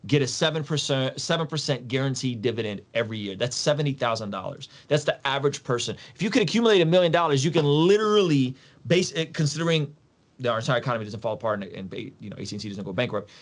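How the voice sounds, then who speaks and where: A man's voice: mid-range voice, expressive, quick pace. A man from Soviet Russia, a podcast guest explaining things in an emphatic, casual, slangy way, repeating phrases and posing rhetorical questions.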